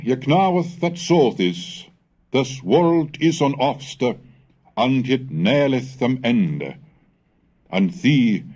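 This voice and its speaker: A man giving a formal, measured scripted reading, declamatory, in the style of an educational recitation of old texts. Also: medium pitch, a little mellow. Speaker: reader and narrator of a language history recording.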